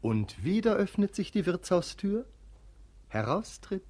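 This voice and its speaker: A man's voice: high voice, a little slow. A man narrating a radio play, wry and chatty, addressing listeners between scenes. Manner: wry, chatty storyteller with lively stage directions.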